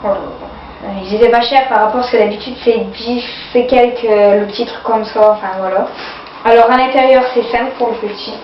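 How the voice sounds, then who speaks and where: A woman with a runny nose and cough talks through her purchases in a halting, casual way. Her voice sits a little high and is caught from a distance on a camera mic. The sound is dull and lo-fi, with a faint hiss in the background. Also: fairly even intonation. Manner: hesitant, many fillers, keeps saying "voilà". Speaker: vlogger showing sale purchases. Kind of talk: casual haul video. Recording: echoey, distant, dull lo-fi home video.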